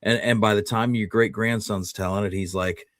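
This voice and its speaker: A man's voice: on the low side, fairly even intonation, moderate pace, fairly bright tone, no echo, clean studio sound. A man hosting a history show, talking casually and often restarting his phrases as he makes a point.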